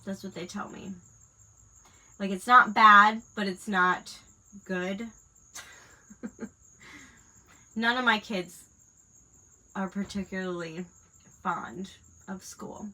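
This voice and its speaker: Crafting host, woman in her 30s, stuffy nose from a cold, crisp bright tone, chatty and rambling. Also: mid-range voice, fairly even intonation, takes it slowly, pauses often, rough recording. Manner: rambling, many fillers. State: congested from a cold. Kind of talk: casual chat while crafting.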